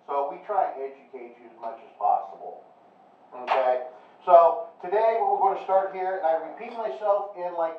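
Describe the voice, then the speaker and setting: A man with a Nebraska accent giving a dive safety briefing, who checks in with a steady "okay?" after each point. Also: fairly high pitch. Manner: repeats "okay?" often, plain and reassuring. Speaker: dive instructor. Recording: echoey, far-off, phone-like tinny sound.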